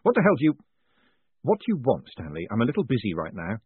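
A man's voice: on the low side, wildly swooping pitch, fast talker, slightly rough, dry recording, exaggerated voice. A man voicing a shaken, protesting con-man in a fantasy audiobook, urgent, faltering and exasperated. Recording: muffled phone-like audio.

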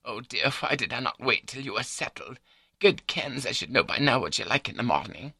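A man's voice: medium pitch, animated, a little fast, vocal fry, pushed and bright, breathy edge, no echo, pitched above the performer's own voice, full character voice. A man voicing a prim, scatterbrained elderly Scottish wife in an audiobook, prattling on in an unruffled, matter-of-fact way with a Scottish accent. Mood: flustered, fussing. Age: older.

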